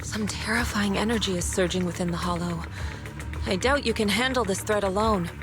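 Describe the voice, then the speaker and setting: Game role: woman voicing calm martial teacher, medium pitch, measured formality, under loud music. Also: close to an everyday voice. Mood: composed, resolute. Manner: composed, formal. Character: calm, steadfast female martial sect master. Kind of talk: scripted video game acting.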